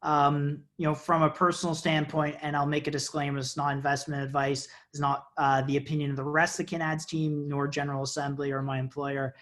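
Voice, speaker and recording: Man in his thirties, slightly high voice, enthusiastic, explanatory, many uhs, earphone mic on a video call. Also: little pitch movement, a little fast, hardly any pauses, a bit bright. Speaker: guest answering questions. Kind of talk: casual video call interview. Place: indoor office with banner. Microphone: earphone headset on video call.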